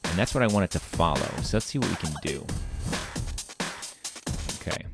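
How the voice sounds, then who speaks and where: A man hosting a casual software tutorial, talking informally and thinking aloud as he demonstrates. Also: expressive, normal speed, creaky. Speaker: tutorial host. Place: screen-recording voice-over. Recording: loud electronic bass music behind. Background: dubstep bass and drum loops.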